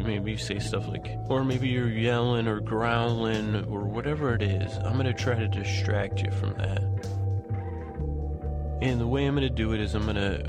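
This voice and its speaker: A man hosting a bedtime podcast in a lulling, soothing tone, rambling haltingly, repeating himself and trailing off mid-phrase. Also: on the low side. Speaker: podcast host. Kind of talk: rambling bedtime monologue to put listeners to sleep.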